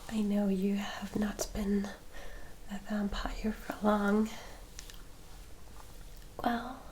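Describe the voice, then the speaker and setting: A woman playing a polite, excitable young vampire sister in an ASMR roleplay, in a soft breathy whisper with gentle, hesitant, formal speech. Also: medium pitch, drawn-out words, a little hiss or hum. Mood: shy, explaining.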